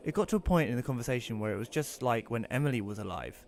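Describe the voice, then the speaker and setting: A man's voice: mid-range voice, expressive, fast, natural voice. A man as an awkward widower telling his story. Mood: reflective narration. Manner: informal, conversational storytelling. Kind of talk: first-person audio drama narration and dialogue.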